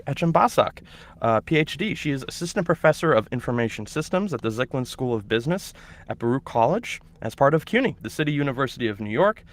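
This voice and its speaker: A man's voice: mid-range voice, fairly bright tone. A man hosting an online orientation webinar for teachers, explaining steps and introducing a guest, with some hesitations and restarts. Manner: instructive, hesitant with fillers and restarts. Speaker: webinar host.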